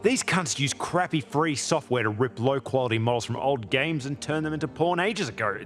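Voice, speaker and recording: Man acting a blunt, animated, good-natured mate. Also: medium pitch, moderate pace, faint music behind, natural voice. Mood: blunt, wry. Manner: blunt, colloquial, expressive. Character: blunt, crude but good-natured male mate, talking someone round. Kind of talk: video game voice acting, colloquial dialogue.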